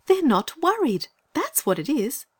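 A man voicing a kind, curious young girl in a read-aloud storybook, in a gentle, questioning girlish voice. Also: extremely high pitch, huge pitch swings, unhurried, pitched above the performer's own voice, full character voice.

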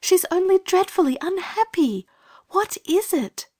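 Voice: very high voice, big pitch swings, unhurried, warm tone, voice pushed up, full character voice. A performer voicing a kind, concerned older sister, an earnest British schoolgirl in a storybook. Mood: tender concern.

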